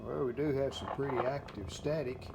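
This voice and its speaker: A man giving a casual hands-on repair walkthrough, unhurried and thinking aloud as he works at an indoor bench. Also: medium pitch. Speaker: hobbyist restorer presenting a repair video. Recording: clear background noise.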